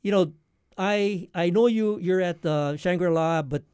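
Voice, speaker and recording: A male radio host with a fairly high, mellow voice, recorded close and dry. He talks conversationally, feeling his way haltingly toward each question. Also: smooth, no room sound. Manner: halting, searching for words.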